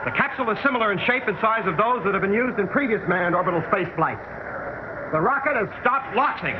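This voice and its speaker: Animated, urgent American radio newsman. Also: high-pitched, fast talker. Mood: excited, urgent. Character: male radio news correspondent, urgent, probing, dogged. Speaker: radio correspondent and narrator. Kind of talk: vintage radio drama acting, news-report delivery. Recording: noisy, muffled vintage radio recording.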